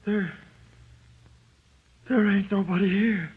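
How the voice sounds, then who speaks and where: A man's voice: sits high, steady tone, takes it slowly, slightly rough, full character voice. An actor playing a frightened jailed gangster in an old-time radio crime drama, with slangy, ungrammatical tough-guy talk that cracks into pleading, on a muffled old recording. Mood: shaken, disbelieving. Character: frightened, panicky jailed gangster, afraid of the dark.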